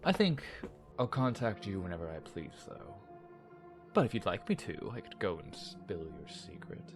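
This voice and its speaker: An actor playing a smug, manipulative villain in an audio drama, breathy and teasing, often dropping into a menacing whisper with mock politeness. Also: male voice, low-pitched, sing-song, moderate pace, faint music behind. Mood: mocking, flirtatious.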